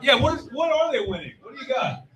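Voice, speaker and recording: A man hosting lively live trivia on stage, blunt and joking, reacting quickly with exclamations. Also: very high voice, a crowd in the background. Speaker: game show host at a fan convention panel. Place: live stage before an audience at a convention.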